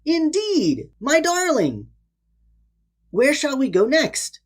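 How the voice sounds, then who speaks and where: A woman's voice: sits high, wildly swooping pitch, takes it slowly, voice pushed up, heavily acted. A woman voicing a brave, lovesick male sea creature in a children's storybook, earnest and dramatic. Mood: eager, affectionate.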